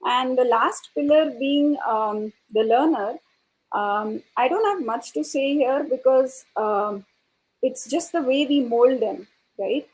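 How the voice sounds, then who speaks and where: A woman speaking as an educator on a panel, explaining her views in a halting, thoughtful way and often repeating words. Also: high voice, a little mellow, low-quality recording. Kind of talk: panel discussion answer, explanatory.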